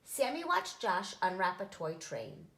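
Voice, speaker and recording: A woman reading a children's storybook aloud in an expressive, storytelling style. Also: mid-range voice, unhurried, creaky, reverberant. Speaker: story reader, nonprofit executive director.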